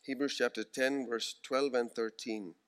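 A man in his forties preaching a sermon, earnest and emphatic, slowing down to repeat key phrases. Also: mid-range voice, fairly even intonation, smooth. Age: 40s.

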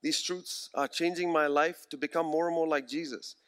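A man in his forties preaching a sermon, earnest and emphatic, slowing down to repeat key phrases. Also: on the high side, fairly even intonation, fairly bright tone, clear, resonant tone. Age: 40s.

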